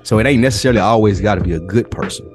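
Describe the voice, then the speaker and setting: Deep-voiced man chatting casually. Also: racing, over music. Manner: informal, slangy, swearing. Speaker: podcast co-host. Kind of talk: casual chat about films, music and plays.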